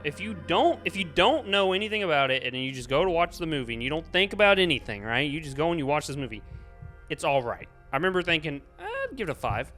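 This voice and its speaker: A man's voice: a little high, sharp tone. A man hosting a podcast in a casual movie review chat, exasperated and slipping into mock dialogue. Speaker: podcast host.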